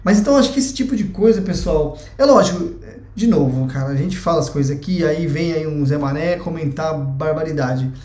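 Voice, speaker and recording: A man's voice: on the high side, a little fast, warm tone. A young Brazilian man with a Brazilian Portuguese accent, giving his opinion in a blunt, chatty vlog and swearing freely. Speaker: vlogger. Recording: echoey room.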